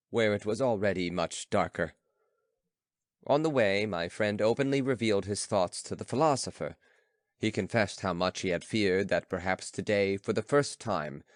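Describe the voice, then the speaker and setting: A man's voice: lowish voice, dry recording, clean studio sound. A man narrating a literary audiobook from a script.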